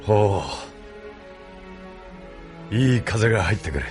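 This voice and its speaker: 男性声優がアニメのオーディオドラマで、落ち着いて威厳のある中年の軍大佐を演じている。. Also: male voice, fairly low pitch, animated, faint music behind. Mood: calm, courteous. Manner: measured, authoritative. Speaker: voice actor. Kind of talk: anime audio drama acting.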